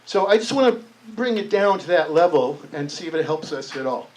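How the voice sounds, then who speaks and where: A man's voice: on the high side, lively intonation, brisk, darkish tone. A man in the audience thinking aloud in a rambling, down-to-earth way. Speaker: audience member. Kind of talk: spoken comment in a public discussion. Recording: distant room mic.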